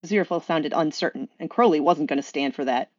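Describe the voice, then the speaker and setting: A woman with a fairly low voice narrates a story. The recording is slightly dull, though close and dry, as she reads from a script. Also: fast talker, darkish tone, dry recording. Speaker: fan fiction reader.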